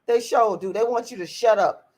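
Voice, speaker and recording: A woman's voice: a little high, normal speed, a little mellow. A woman, hosting a livestream and reacting to viewer comments. She talks in a blunt, outspoken way, swears freely and repeats her points to drive them home. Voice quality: raspy voice. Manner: blunt and profane, repeats herself. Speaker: channel host reading viewer comments.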